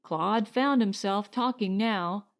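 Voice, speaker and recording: A woman narrating a short story as an audiobook, in a measured, deliberate storytelling manner. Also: medium pitch.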